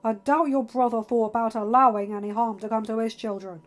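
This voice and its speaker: A stern young father and lord from a fantasy audiobook, acted by a woman in a fairly high voice. The delivery is emphatic and exasperated, insisting on reason, with music behind it. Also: steady tone, a little fast, natural voice. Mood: angry, firm. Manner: forceful, emphatic, pleading with reason.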